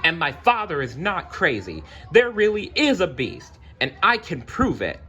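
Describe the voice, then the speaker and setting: A man's voice: fairly high pitch, wildly swooping pitch, unhurried, sharp tone, highs cut off, pitched above the performer's own voice, full character voice. A man voicing an earnest, spirited young storybook heroine with a pleading, emphatic manner. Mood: defiant.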